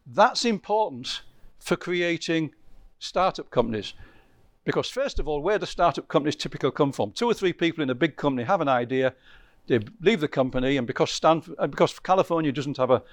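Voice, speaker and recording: A man's voice: a little high, no room sound. A man lecturing to a visiting group in a loose, conversational way, often correcting himself mid-sentence and stressing key points. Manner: rambling, self-correcting, lively emphasis.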